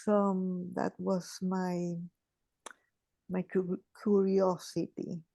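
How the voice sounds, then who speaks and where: A woman, sharing in a group call in a halting, thoughtful way with many restarts. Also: lowish voice, little pitch movement, gravelly, mellow, dry recording. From Chile. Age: 80s. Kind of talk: casual sharing on a video call.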